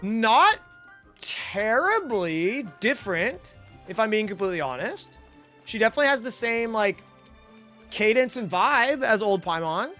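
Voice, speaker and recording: Young American female game streamer: bright, punchy voice, very lively intonation, slangy and playful, light music behind. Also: on the high side, measured pace. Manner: playful, slangy, reacting to chat. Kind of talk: casual livestream commentary.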